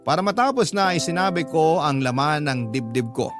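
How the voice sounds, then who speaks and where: Male radio drama narrator, fairly high voice, reading a scripted personal letter with clear background music. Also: animated, a little fast. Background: music under the voice.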